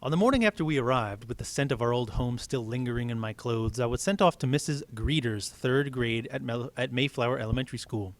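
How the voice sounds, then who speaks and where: A man's voice: medium pitch, fairly quick, few pauses, no room sound. A man reading a story aloud as a teacher, with casual explanatory asides.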